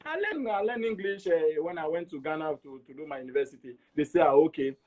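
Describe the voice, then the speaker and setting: Higher-voiced Togolese man telling his story. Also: fast talker, darkish tone. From Togo. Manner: repeats words for emphasis, acts out quoted dialogue. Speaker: interview guest. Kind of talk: animated personal storytelling.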